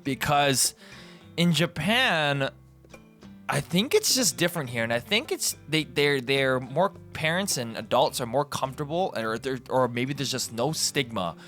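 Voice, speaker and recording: A man's voice: medium pitch, animated, a little slow, fairly bright tone. The kind of Canadian guy heard on a laid-back commentary channel, wandering off on tangents over a bed of guitar music. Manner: informal, digressive, self-correcting. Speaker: video host. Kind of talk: casual rambling commentary.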